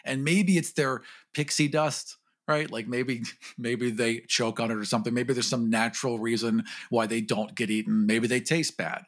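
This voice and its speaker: A man's voice: mid-range voice, steady tone, moderate pace, few pauses. A man talking casually and jokingly as part of a podcast discussion.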